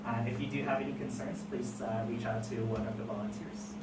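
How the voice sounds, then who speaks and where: An event host with a halting, informal way of speaking, making announcements to open a gathering. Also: mid-range voice, flat delivery. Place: indoor event room, recorded from afar. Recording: echoey distant room recording, loud noise.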